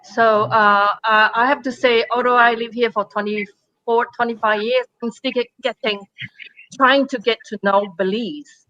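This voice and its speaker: A woman from Taiwan, a painter speaking on a panel in halting English with many fillers and restarts. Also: a little high, steady tone, sharp tone, no echo, lo-fi sound. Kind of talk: panel discussion.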